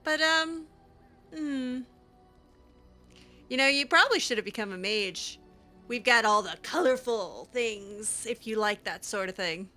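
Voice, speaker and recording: A woman improvising in-character chat over a video game, casual and halting, with a teasing streak. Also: a little high, expressive, sharp tone. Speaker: gaming channel host, roleplayer. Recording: music behind the voice. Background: game music.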